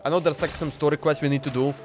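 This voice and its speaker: A man with a slightly high voice gives casual live gameplay commentary. He talks in an informal, slangy way, with faint game music behind him. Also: steady tone, very fast. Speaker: game streamer.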